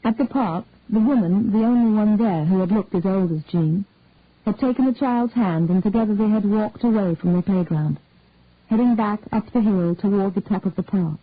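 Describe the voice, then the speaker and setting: A woman reading a detective story aloud in a measured, unhurried way. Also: mid-range voice. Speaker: reader. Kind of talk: scripted narration of a detective story. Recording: husky, tinny, dry phone-like sound.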